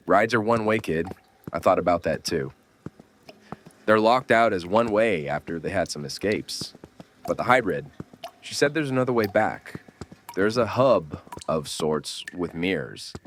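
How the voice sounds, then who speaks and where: A man playing a gruff, blunt, world-weary survivor mentoring a kid in a horror audio drama. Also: low voice, expressive, a little slow, a bit bright, a little hiss or hum. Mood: wry, explaining. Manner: blunt, scolding, urgent.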